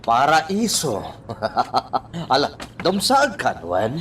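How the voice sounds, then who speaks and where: A man's voice: mid-range voice, wildly swooping pitch, extremely slow, fairly bright tone, a little hiss or hum, exaggerated voice. An actor playing a sly, coaxing man who leads another along in a radio drama, with short prodding phrases.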